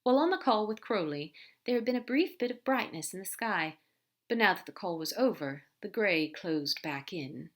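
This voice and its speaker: A woman narrating a fan fiction story aloud in a gentle, even storytelling way. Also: medium pitch, moderate pace. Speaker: podfic reader.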